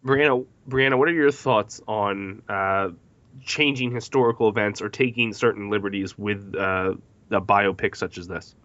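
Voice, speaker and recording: Low-voiced man hosting, dull lo-fi sound. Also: moderate pace, fairly bright tone, no echo. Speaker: podcast host. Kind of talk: moderating a film discussion. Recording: dull lo-fi podcast audio.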